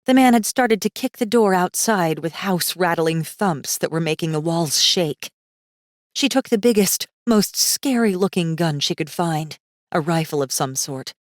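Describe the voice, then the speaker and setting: A female audiobook narrator with a fairly low voice tells a fantasy story dramatically. Her delivery is suspenseful and tense. The recording is close and dry. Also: dry recording.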